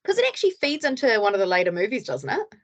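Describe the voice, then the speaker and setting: Woman meeting host, mid pitch, chatty, laughs easily, video call. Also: very animated, quick pace. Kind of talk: casual chat and hosting an online meeting.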